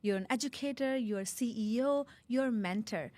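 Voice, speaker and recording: A woman's voice: fairly high pitch, fairly quick, a little mellow, no room sound. A woman hosting an upbeat interview show, speaking in an effusive, encouraging way.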